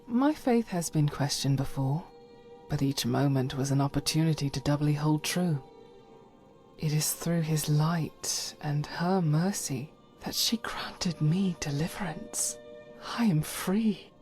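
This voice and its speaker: An actress voices an earnest warrior of faith in a fantasy game. She speaks slowly in a slightly low voice, formal and solemn, with halting phrases that drop into whispers. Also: a little mellow, soft background music. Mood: resolute, grateful. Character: devout warrior woman, guilt-ridden, earnest, solemn. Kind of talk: dramatic video game mod voice acting.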